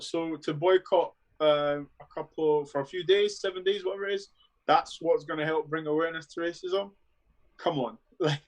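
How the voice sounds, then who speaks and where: A man's voice: a little high, low-quality recording. A man in his thirties with a London accent, giving blunt, dismissive opinions in a casual panel chat over a video call. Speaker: guest panelist.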